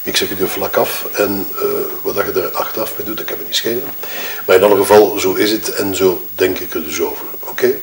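Man playing a resentful deep-voiced Flemish husband. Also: fairly even intonation, a little hiss or hum. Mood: irritated, disdainful. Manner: halting, blunt, colloquial. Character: resentful, grieving husband giving a reluctant murder statement. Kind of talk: acted testimony in an audio murder-mystery game.

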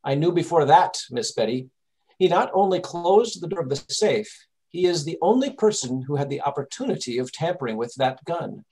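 A man playing a calm gentleman detective in an old-time radio drama, measured, reassuring and authoritative. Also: fairly high pitch, low-quality recording.

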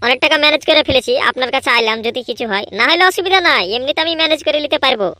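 Woman doing a high, cocky cartoon village lad. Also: very fast, pushed and bright, no room sound. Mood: wheedling. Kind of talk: Bengali comedy cartoon voice acting.

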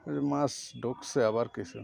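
A man's voice: medium pitch, steady tone, normal speed, gravelly, a little mellow. A man hosting a casual fishing vlog, chatting and commenting outdoors. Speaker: host filming a fishing vlog. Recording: outdoor recording with birdsong and noise. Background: birdsong, faint ambient noise.

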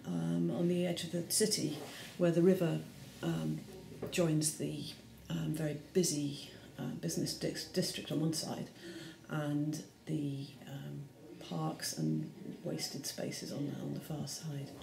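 A woman in her forties, an artist, describing her short films haltingly, with many pauses and fillers. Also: sits low, level tone, gravelly, darkish tone, slight background noise. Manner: hesitant, many ums. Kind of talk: casual explanation of her films. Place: room with bare block walls. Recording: echoey room.

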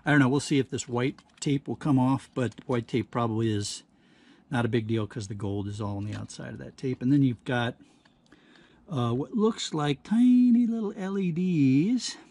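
A man giving casual hands-on demonstration commentary, halting and thinking aloud as he works at a workbench. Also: medium pitch, a little mellow. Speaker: host of a scrapping how-to channel. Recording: clear background noise, handling clicks.

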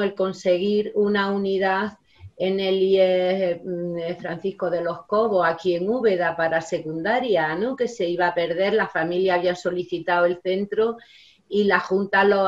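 A woman in her sixties, a teacher, talking in a rambling way full of fillers and tag questions in a casual video-call interview. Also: medium pitch. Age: 60s. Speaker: guest, special education teacher and union member. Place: home study with bookshelves. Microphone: laptop webcam on a video call. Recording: dull lo-fi video call audio.

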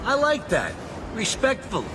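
A man's voice: very high voice, wildly swooping pitch, measured pace, a crowd in the background, background noise, heavily acted. An actor playing an older, fatherly but smug mob boss in a video game, praising in a patronising way. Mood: approving. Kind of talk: video game cutscene acting.

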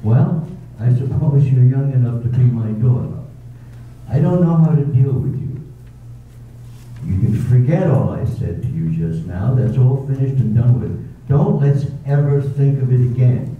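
A man's voice: medium pitch, a little slow, close to an everyday voice. Guy doing a curt rich gent in a radio play, dry and teasing, echoey hall, thin phone-like sound from far off. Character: brusque wealthy older gentleman proposing. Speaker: amateur readers theater actor. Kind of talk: staged radio drama reading.

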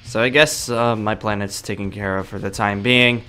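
A man's voice: lowish voice, steady tone, moderate pace, sharp tone, clear, resonant tone, soft background music, pitched below the performer's own voice. A man playing a cocky, exasperated young anime fighter in a video game roleplay, grumbling to himself in slang. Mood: grumbling, resigned.

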